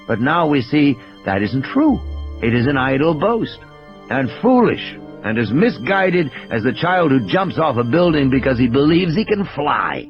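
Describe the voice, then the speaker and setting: An intense, ailing male scientist, acted by a man reading an audiobook lectures grimly over music in slightly muffled audio. His delivery is emphatic and rhetorical, with a very animated melody. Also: on the high side. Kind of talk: audiobook character dialogue. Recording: dull lo-fi audio, music behind.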